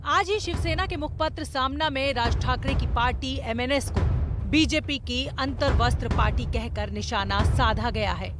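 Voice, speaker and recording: A woman's voice: sits high, normal speed. A woman reporter reading a scripted news narration in Hindi news style. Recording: loud music bed behind voice-over. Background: news music.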